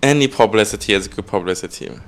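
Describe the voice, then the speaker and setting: A man's voice: lowish voice, quick pace. Wry man in casual podcast banter. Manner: wry, teasing interjections. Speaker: podcast co-host. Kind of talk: casual chat about motor racing.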